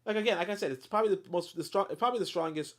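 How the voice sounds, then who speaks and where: Casting note: man on the higher side, reads a book aloud and then breaks into heated, blunt asides full of fillers and swearing. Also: rapid-fire. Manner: animated, blunt asides with profanity and many fillers. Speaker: host reading a book aloud with commentary. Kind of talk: book reading with heated live commentary.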